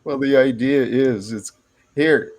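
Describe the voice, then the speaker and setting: A man's voice: medium pitch, takes it slowly. A man speaking as a debate guest, making earnest, idealistic declarations. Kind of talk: informal debate.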